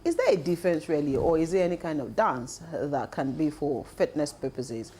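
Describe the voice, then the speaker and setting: A woman's voice: deep voice, moderate pace, a little hiss or hum. A woman in her forties with a Nigerian accent, hosting a television talk show and leading the conversation. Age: 40s. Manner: lively, leads the talk. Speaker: host. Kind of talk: casual panel chat. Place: television studio.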